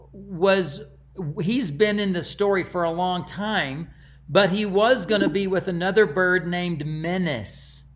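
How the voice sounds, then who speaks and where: A man's voice: high-pitched, fairly bright tone. A man speaking as an author in casual, conversational commentary.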